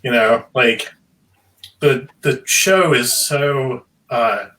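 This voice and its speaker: A man's voice: medium pitch, very slow, pushed and bright, far from the mic, poor audio. A man speaking as a panelist in a casual, enthusiastic discussion, explaining things in a thoughtful, rambling way.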